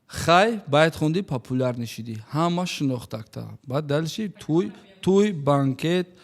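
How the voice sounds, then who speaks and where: A man hosts a casual interview. His voice sits a little high. He asks teasing, probing questions. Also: lively intonation, unhurried, gravelly.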